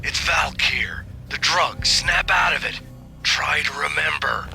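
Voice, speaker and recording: A man's voice: a little high, slow, vocal fry, bright, forceful tone, faint music behind, heavily acted. A male actor playing a menacing hallucinated voice that speaks in terse, urgent phrases.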